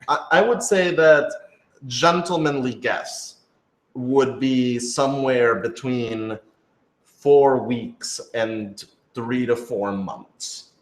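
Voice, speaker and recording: A man's voice: medium pitch, extremely slow. A man answering fan questions as a radio drama creator in an informal panel, thinking aloud and rephrasing as he goes. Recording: roomy, echoey room sound.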